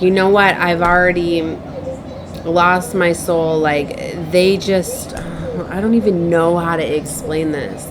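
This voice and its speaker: Low-voiced woman over noticeable background noise. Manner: halting, repeats herself, circles back. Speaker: online tarot reader. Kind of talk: improvised spiritual message to viewers.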